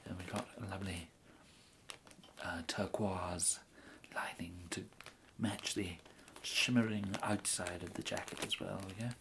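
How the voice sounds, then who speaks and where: A man in his thirties with an English accent playing a polite, fussy gentleman tailor in an ASMR roleplay, murmuring hesitantly and often slipping into a breathy whisper. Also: low voice, steady tone, a little slow, noisy background. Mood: courteous, pleased. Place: indoors, plain small room, close to camera.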